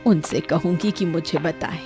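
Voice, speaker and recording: A woman playing a careworn old grandmother in an animated story, scolding and lamenting but loving. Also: low-pitched, moderate pace, faint music behind, lightly acted. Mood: weary, worried. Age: older. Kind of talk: Hindi animated story dialogue.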